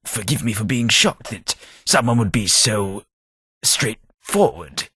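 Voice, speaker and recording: A prim, disdainful old ghoul. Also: male voice, expressive, pushed and bright, slightly rough, dry recording, exaggerated voice. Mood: sardonic. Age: older. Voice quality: low, dry, measured and cutting. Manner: refined, sardonic, easily offended. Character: old, proper, disdainful male ghoul companion. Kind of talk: game companion voice lines.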